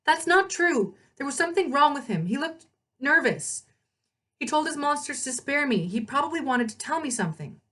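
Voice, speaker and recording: A woman's voice: high-pitched, sing-song, moderate pace, pushed and bright, recorded from a distance, voice pushed up, exaggerated voice. A woman voicing a bossy, indignant teenage girl adventurer in a storybook, emphatic and sarcastic. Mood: insistent, annoyed.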